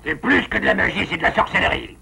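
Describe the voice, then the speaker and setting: A man's voice: a little high, lively intonation, quick pace, vocal fry, sharp tone, breathy edge, exaggerated voice. A man voicing a credulous, superstitious animal villager in a French cartoon, speaking emphatically. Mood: awed, convinced. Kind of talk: French animated series dubbing.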